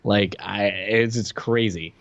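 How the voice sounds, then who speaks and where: A man's voice: on the low side, slow pace, no room sound, highs cut off. An American man co-hosting a podcast, talking through a TV show in an informal, hedging, conversational way. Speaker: podcast co-host. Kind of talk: casual chat reviewing a TV show.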